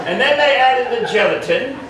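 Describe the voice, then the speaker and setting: A middle-aged man playing a grandfather telling an improvised bedtime story to his grandson in a deliberate, mock-earnest way. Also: extremely high pitch, animated. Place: indoor theatre stage. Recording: roomy stage hall, distant mic, audience noise.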